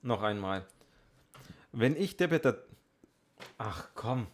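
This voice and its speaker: A man's voice: fairly low pitch, animated, slow. A man co-hosting a podcast in casual chat. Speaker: podcast co-host.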